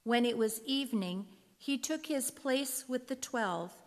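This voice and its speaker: Woman reading bible narration out loud, measured, highish voice, reverby hall. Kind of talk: scripted scripture narration.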